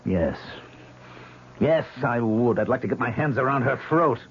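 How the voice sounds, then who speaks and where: A man's voice: medium pitch, animated, normal speed, a little hiss or hum, lightly acted. An actor playing a tormented, aggressive man haunted by a voice, in an intense old-time radio drama style, on a muffled recording. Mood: agitated, defensive.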